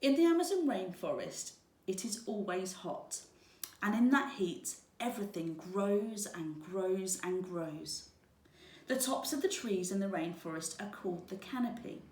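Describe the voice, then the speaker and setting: Woman reading a kids story, slow and expressive, echoey room bit far from mic. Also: medium pitch. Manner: deliberate, expressive read-aloud. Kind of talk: children's storybook narration. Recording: roomy, echoing, distant microphone.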